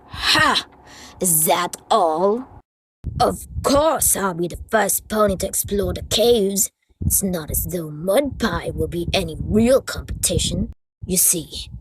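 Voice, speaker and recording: A woman's voice: lowish voice, slight background noise, low-quality recording. A woman voicing an arrogant, scheming young female cartoon pony archaeologist in a comic dub, sneering and smug.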